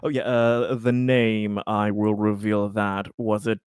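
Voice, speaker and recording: A man playing a tabletop roleplaying game, narrating his character off the cuff in a halting, stumbling way. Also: fairly low pitch, little pitch movement, a little mellow, clear, resonant tone, dry recording, studio quality.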